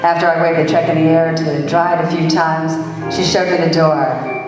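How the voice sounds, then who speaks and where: Woman performing wisecracking female private eye, lowish voice, dry and sassy, radio play, distant in a reverberant hall. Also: steady tone, moderate pace, music in the background, close to an everyday voice. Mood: wry. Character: brash wisecracking female private detective, narrating her case. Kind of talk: old-time radio detective play acting. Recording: echoey hall, distant microphone.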